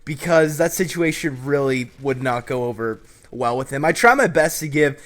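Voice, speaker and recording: A man's voice: mid-range voice, animated, normal speed. A young man hosting a casual advice show, brash and joking, with some swearing. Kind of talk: casual advice commentary over gameplay.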